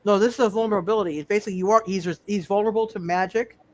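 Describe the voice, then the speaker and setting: A man's voice: high-pitched, steady tone, racing. A man casually explaining tabletop game rules in an insistent way. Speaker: tabletop roleplaying participant.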